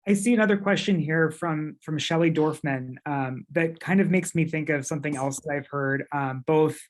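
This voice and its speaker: A woman's voice: sits low, moderate pace. A woman moderating a webinar informally, relaying questions with hesitant, tentative phrasing.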